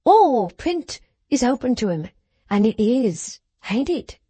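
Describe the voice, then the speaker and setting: A woman's voice: fairly high pitch, big pitch swings, takes it slowly, mellow, dry recording, pitched above the performer's own voice, exaggerated voice. A woman voicing a jovial, boastful older man who speaks in broad, ungrammatical English, eager and enthusiastic. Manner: broad, rough-grammared, enthusiastic.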